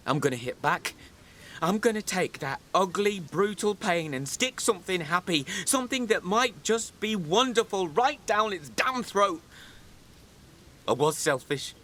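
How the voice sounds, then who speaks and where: A man's voice: high-pitched, pushed and bright, heavily acted. An actor playing an emotional, middle-aged widowed father in a naturalistic radio soap. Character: grieving widowed father, emotional and confessional, exasperated.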